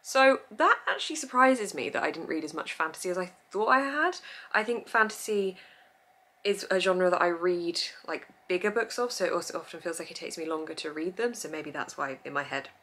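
A woman's voice: fairly low pitch, animated, a little fast, sharp tone. A young woman, a book vlogger chatting upbeat to camera at home, laughing often as she goes through her reading. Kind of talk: casual chat to camera. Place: home room with full bookshelves.

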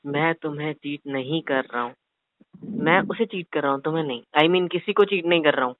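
A man's voice: fairly high pitch, a little fast, no echo, narrow, tinny audio. Pleading young man on a call. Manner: pleading, evasive. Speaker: prank caller. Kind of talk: casual prank phone conversation.

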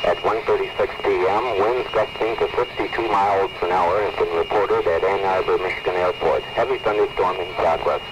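An American man reading a formal scripted weather warning as a radio announcer, deliberate and official, on an old 1979 radio tape. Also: low voice, steady tone. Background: loud noise.

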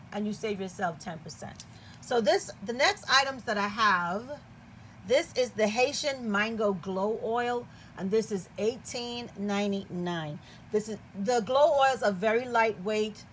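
A woman chatting casually and excitedly as she unboxes products at a table indoors. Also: mid-range voice, measured pace, slight background noise. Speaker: product reviewer.

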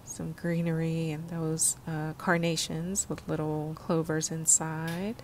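A woman vlogger giving a casual, unhurried, descriptive narration of what she is showing while shopping. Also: deep voice, level tone, a little mellow, a little hiss or hum.

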